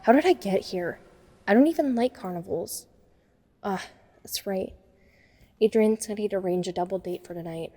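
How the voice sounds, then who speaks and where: A woman's voice: mid-range voice, moderate pace, pauses often, dark tone, lightly acted. A woman playing a self-conscious, wryly observant nerdy teenage girl who narrates a first-person audio drama. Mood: reflective. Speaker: narrator and lead character. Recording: long reverb on the voice.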